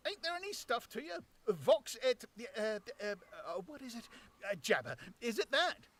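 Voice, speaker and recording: A man voicing an excitable rustic tramp who yelps his lines high and loud. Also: normal speed, exaggerated voice. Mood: scoffing. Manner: high squawking yelps, exclamatory. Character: excitable rustic male tramp, gruff and bewildered.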